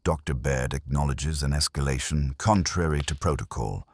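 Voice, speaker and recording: A man voicing a self-important male legal advocate in an audiobook mystery, smooth, formal and pompous. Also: a bass voice, normal speed, no room sound, studio quality. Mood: smoothly righteous.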